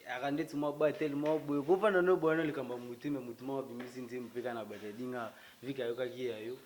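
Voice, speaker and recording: A man's voice: mid-range voice, fairly even intonation, fast talker, slight background noise. A man interviewing a rapper in a loose, chatty way. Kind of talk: casual interview questions.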